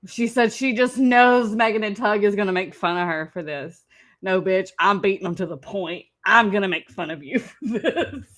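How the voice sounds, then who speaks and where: A woman's voice: mid-range voice, normal speed, fairly bright tone. A woman hosting a reaction show, mocking the clip in casual, teasing commentary and laughing often.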